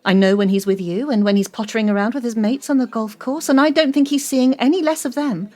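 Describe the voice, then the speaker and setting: A woman's voice: fairly high pitch, fairly even intonation, fast talker, warm tone, close to an everyday voice. An actress playing a cool, controlling middle-aged woman in an audio drama, haughty, clipped and articulate. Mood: defensive, reasoning.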